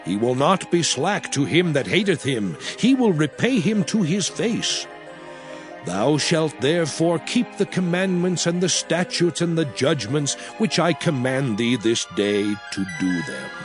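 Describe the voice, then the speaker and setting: A man's voice: on the high side, sing-song, measured pace. An actor playing a solemn, commanding prophet who addresses his people in dramatized, oratorical scripture with archaic English. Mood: solemn exhortation. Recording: loud music bed under voice. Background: music.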